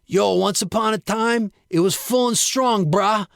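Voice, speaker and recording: A man's voice: high-pitched, a little slow, creaky, fairly bright tone, no echo, clean studio sound, pitched above the performer's own voice, heavily acted. Man putting on a brash, slangy working-class tough guy. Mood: grumbling. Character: burly brash cement worker turned yogi, good-natured everyman.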